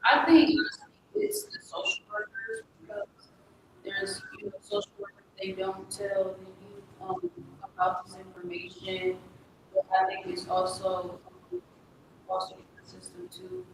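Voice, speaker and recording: A young woman giving halting personal testimony as a guest in a public community conversation. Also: mid-range voice, huge pitch swings. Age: young adult. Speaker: community panel guest, former foster youth. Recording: very echoey room, distant mic, noisy.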